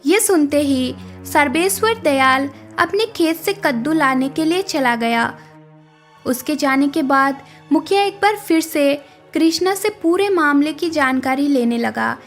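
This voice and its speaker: A woman's voice: high-pitched, lively intonation, measured pace, faint music behind. A woman reading scripted narration for a moral story.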